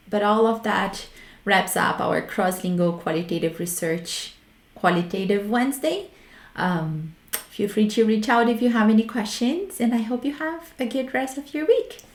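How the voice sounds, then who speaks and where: A woman from Brazil with a Brazilian Portuguese accent hosting a solo talk on research methods, frank and reflective, often stopping to restart her words. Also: medium pitch. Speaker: host. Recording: roomy echo.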